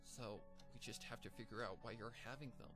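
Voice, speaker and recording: A man voicing a shaken but caring female pony scholar who speaks softly and stammers a little, read over background music. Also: lowish voice, fairly even intonation, fairly quick, natural voice. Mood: comforting. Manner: soft, shaky, stammering.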